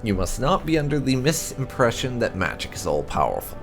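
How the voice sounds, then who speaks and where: A man reading fantasy game lore aloud as narration, in a deliberate, expressive manner with dramatic stresses. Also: mid-range voice, soft background music. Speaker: host of a game playthrough channel.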